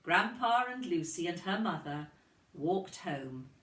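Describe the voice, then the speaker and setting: Slow, expressive woman reading a children's story. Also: on the low side. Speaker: kindergarten teacher reading aloud. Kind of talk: storybook narration for young children. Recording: roomy, distant microphone.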